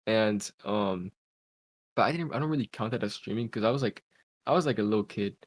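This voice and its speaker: A man's voice: on the low side, brisk. A man in his twenties, a podcast guest, telling a long story in a loose, rambling way full of "like". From Cuba, raised in Pennsylvania. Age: 20s. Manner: rambling, heavy use of "like". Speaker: podcast guest, content creator. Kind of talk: casual storytelling. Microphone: podcast call mic.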